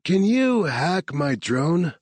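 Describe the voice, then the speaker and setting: A man's voice: fairly high pitch, sing-song, slow, husky, no room sound, voice pushed up, full character voice. A man voicing a frustrated young male tech specialist, raised above his own narrating voice.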